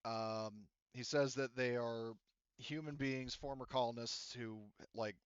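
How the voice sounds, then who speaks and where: A man's voice: mid-range voice, steady tone, unhurried, clean tone, dry recording, muffled recording. A man serving as game master, relaying a character's words to the players in a hesitant, filler-heavy way. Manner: hesitant, filler-heavy, says "you know" and "like" often.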